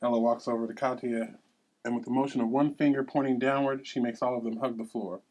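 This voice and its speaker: A man's voice: mid-range voice, level tone, fast, darkish tone, rough recording. A man narrating at a table read, reading scene headings and stage directions aloud.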